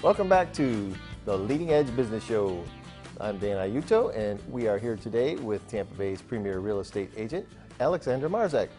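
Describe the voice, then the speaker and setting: A man's voice: fairly low pitch, very animated, normal speed, over music. A middle-aged American man in his fifties, interviewing a guest conversationally as host of a business show. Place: TV studio.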